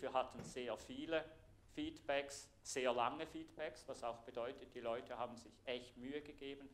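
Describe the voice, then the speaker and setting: A middle-aged man from Thurgau with a Swiss German accent, giving an earnest conference presentation in a lecture room with plenty of filler pauses. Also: medium pitch. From Thurgau, Switzerland. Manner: earnest, explanatory, pausing with fillers. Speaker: IT architect giving a conference talk. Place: lecture room, standing before a projected slide.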